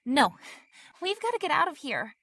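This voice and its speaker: A woman's voice: high-pitched, wildly swooping pitch, normal speed, a bit bright, dry recording, full character voice. A woman playing an injured, sarcastic teenage mean girl in an audio drama, biting and demanding. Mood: demanding, pained.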